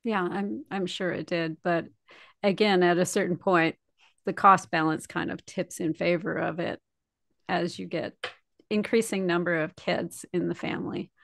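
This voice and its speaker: A woman's voice: lowish voice, fairly even intonation, moderate pace, creaky, a bit bright, no echo. A woman hosting a podcast, talking conversationally as she interviews a guest. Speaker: podcast host.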